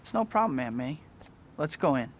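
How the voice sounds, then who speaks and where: A man's voice: a little high, big pitch swings, moderate pace, slight background noise. An actor playing an earnest, put-upon young male superhero in a video game, with a wry manner. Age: young adult. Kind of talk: scripted video game acting.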